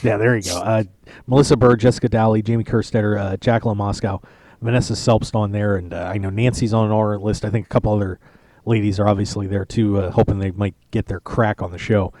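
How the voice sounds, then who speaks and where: A man's voice: on the low side, steady tone, fast, darkish tone, no room sound. A man hosting a poker radio show, chatting casually and joking from a lounge at a poker tournament. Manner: chatty, joking, rambling with restarts. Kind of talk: casual live-stream chat.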